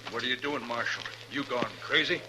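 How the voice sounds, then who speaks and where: A man's voice: medium pitch, fairly even intonation, a little fast, close to an everyday voice. A man playing a blunt, hot-tempered, stubborn Western rancher father in a 1950s radio western. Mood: shaken. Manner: blunt, forceful, folksy Western speech. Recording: noisy old 1950s radio recording.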